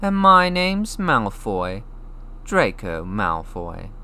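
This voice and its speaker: A man's voice: on the low side, sing-song, drawn-out words, pushed and bright, slight background noise, full character voice. A man voicing a snobbish, sneering young schoolboy wizard with a mocking drawl, reading a storybook. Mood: haughty curiosity.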